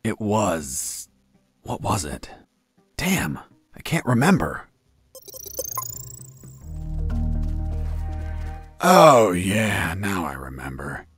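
Gruff cocky prisoner hero, real low voice, slow deadpan drawl but swingy, video game. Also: male voice, faint music behind, exaggerated voice. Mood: recalling, irritated. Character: gruff cocky male prisoner, action hero recounting his escape. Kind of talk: video game voice acting, comic storytelling.

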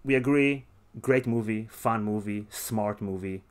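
A man's voice: on the low side, unhurried, clean tone. A man hosting a casual podcast movie review, talking in an emphatic, enthusiastic way. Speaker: podcast host.

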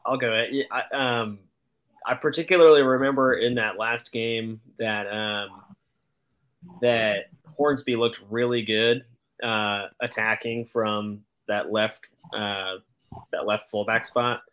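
A man giving casual soccer analysis as a podcast panelist, halting as he goes, over a video call. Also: on the low side, fairly even intonation, fairly bright tone.

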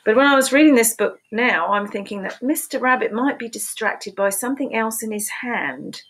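A woman in her fifties reading picture books aloud to preschoolers in a slow, sing-song storytime voice, recorded on a webcam in a small room at home. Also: on the high side, a bit bright. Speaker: children's book reader. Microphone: webcam at desk.

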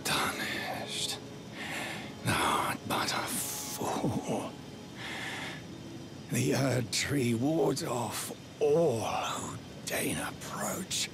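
An actor playing an aged, cursed demigod king in a video game, bitter and proud, with a breathy rasp and grandiose archaic delivery. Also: mid-range voice, expressive, in a whisper, heavily acted. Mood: weary, bitter. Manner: slow, archaic, grandiose pronouncements. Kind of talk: dramatic video game villain acting. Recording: game audio under loud orchestral music. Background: loud dramatic music.

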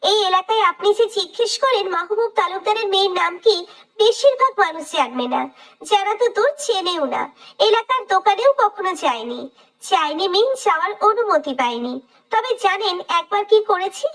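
A woman's voice: very high voice, expressive, a bit bright, heavily acted. A woman voicing a feisty, playful young woman, a sheltered daughter, talking cheekily and dramatically. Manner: cheeky, dramatic, quick-tongued. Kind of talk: romantic audio story acting.

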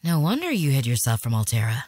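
Female explorer, video game, wry, determined, informal, animated pitch, dry studio sound. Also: fast talker, no room sound, full character voice. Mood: wry, surprised.

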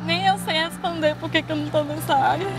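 Soft-spoken high-voiced woman in her thirties. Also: fairly even intonation, quick pace. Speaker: interviewee, hospital cleaner. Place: outdoors at a city bus stop. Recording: TV segment with loud music behind.

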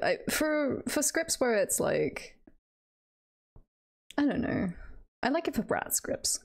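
A woman's voice: mid-range voice, gravelly, darkish tone. A woman streamer chatting casually on a livestream, musing in a playful, giggly, hesitant way. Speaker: streamer and voice performer.

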